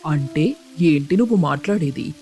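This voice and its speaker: A man's voice: fairly high pitch, animated, normal speed, warm tone, faint music behind. A man voicing a cautious, caring crow uncle in a cartoon story, speaking in an earnest, urging manner. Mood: worried, exasperated.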